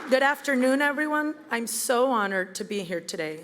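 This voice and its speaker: A woman, giving a prepared campaign speech in an earnest, measured way. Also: a little high, lively intonation, very noisy. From Venezuela. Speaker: campaign event speaker. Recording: reverberant hall with crowd.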